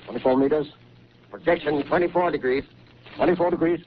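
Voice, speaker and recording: A man's voice: fairly high pitch, a little fast, natural voice. Male gunnery soldier, acted: terse barked military calls, old radio drama, dull and noisy vintage sound. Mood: brisk, commanding. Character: disciplined male artillery soldiers calling ranges and orders. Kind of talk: 1930s radio drama acting. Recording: muffled, noisy 1930s radio recording.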